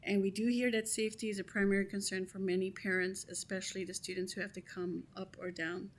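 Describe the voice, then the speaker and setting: A woman's voice: mid-range voice, level tone, fast. A woman from Belgium, giving a direct, persuasive public comment at a council meeting. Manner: direct, persuasive, rhetorical questions. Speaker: school committee president. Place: council chamber.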